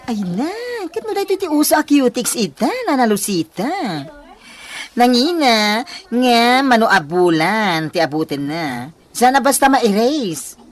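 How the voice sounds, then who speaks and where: High-voiced, pert Ilocano manicurist. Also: female voice, very animated, measured pace. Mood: complaining. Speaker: radio drama actress. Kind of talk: Ilocano radio comedy drama acting.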